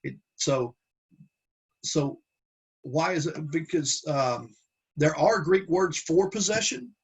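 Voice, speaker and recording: A man teaching a Bible class from slides, talking in a halting way with many restarts and fillers. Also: a little high, breathy edge, rough recording.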